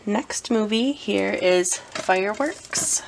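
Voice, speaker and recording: A woman's voice: medium pitch, takes it slowly, creaky, slight background noise, rough recording. A young woman with a cold, chatting casually as she shows her anime collection, with frequent ums and self-corrections. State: has a cold. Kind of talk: casual show-and-tell vlog.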